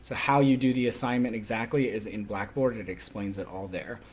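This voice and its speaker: A man speaking as a college instructor, explaining course rules to a class in an instructional way with frequent short pauses. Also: on the low side, fairly even intonation, slight background noise. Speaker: college sign language instructor.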